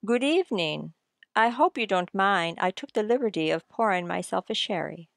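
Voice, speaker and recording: A suave, uncanny stranger from a retro radio mystery, acted by a woman. The manner is unflappably polite and sinister. The medium-pitched voice crackles with creak. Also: animated, moderate pace, dry recording, studio quality. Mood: smooth, menacing. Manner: polite, composed.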